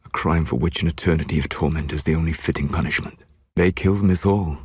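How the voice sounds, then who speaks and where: A man playing a solemn, learned elven mage in a video game, proud and regretful, speaking in a measured, formal way. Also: rumbling low, husky, no echo, full character voice. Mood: grave, resolute. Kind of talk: scripted game dialogue.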